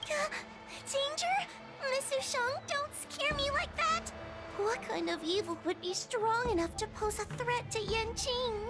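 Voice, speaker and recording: A woman's voice: very high-pitched, a little slow, loud music behind the voice. A woman playing a timid, polite little girl official in a video game. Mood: frightened. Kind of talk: animated video game dialogue.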